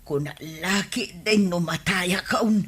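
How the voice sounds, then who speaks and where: A woman playing a whiny, dramatic glutton who moans and complains in a drawn-out way in a radio comedy drama. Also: fairly low pitch, slightly rough. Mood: groaning.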